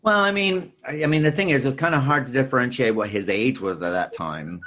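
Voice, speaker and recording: A man's voice: mid-range voice, a little fast, sharp tone, slight background noise. A man co-hosting a casual film discussion, rambling and often tacking on "you know" and "sort of thing". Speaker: podcast co-host.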